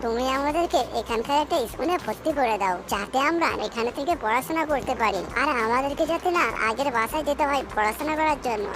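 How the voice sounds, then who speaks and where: A woman's voice: high voice, quick pace, few pauses, lightly acted. A woman voicing a young, earnest bird chick who pleads like a child in a cartoon, with music underneath. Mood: happy, pleading. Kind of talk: cartoon character dialogue.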